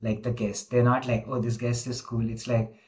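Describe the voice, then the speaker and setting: A man's voice: medium pitch, little pitch movement, normal speed, darkish tone. A man hosting an informal interview over a video call, talking casually with frequent fillers. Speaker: interviewer, host.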